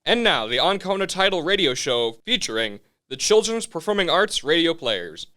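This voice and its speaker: A man's voice: fairly high pitch, very animated, moderate pace, sharp tone. A man playing a 1930s radio show announcer in an old-time presenting style. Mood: showy.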